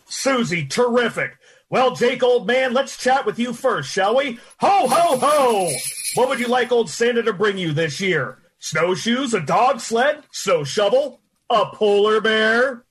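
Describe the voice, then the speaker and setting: An actor playing a jolly but weary old department store Santa, folksy and hearty, in an old-time radio drama. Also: male voice, very high-pitched, expressive, a little slow, a bit bright, slightly rough, exaggerated voice.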